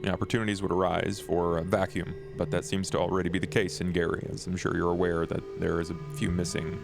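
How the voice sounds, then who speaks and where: Man as a deep, gravelly, calculating vampire envoy. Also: little pitch movement, background noise. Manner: measured, formal, deliberate. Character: courteous, businesslike male vampire envoy, cool and calculating.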